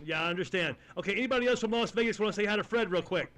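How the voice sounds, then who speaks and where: A man with a Texan accent running a ham radio net, folksy and halting, with many fillers. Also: high voice, noisy background. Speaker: net control host.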